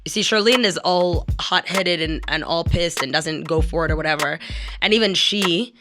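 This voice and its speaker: A woman's voice: on the low side, moderate pace. A woman in a candid discussion, explaining things in an emphatic, conversational way. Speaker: actor and radio professional. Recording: music playing under speech. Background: music.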